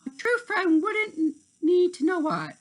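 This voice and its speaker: A man voicing a feisty, quick-tempered little female fairy in a children's storybook, exclamatory and petulant. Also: extremely high pitch, wildly swooping pitch, a bit bright, pitched above the performer's own voice, exaggerated voice. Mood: hurt, defiant.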